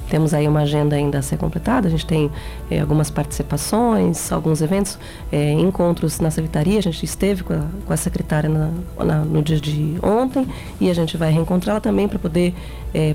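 A woman with a São Paulo accent answers interview questions in a measured, earnest way. Her voice is low and mellow. Soft music sits faintly behind her. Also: gravelly. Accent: São Paulo Portuguese. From São Paulo, Brazil. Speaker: guest, education campaign member.

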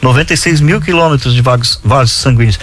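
A man's voice: medium pitch, fast talker. A middle-aged man hosting a casual chat show, teasing his guests and reading out odd trivia. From southern Brazil. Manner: jokey, teasing, reads trivia aloud. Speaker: host.

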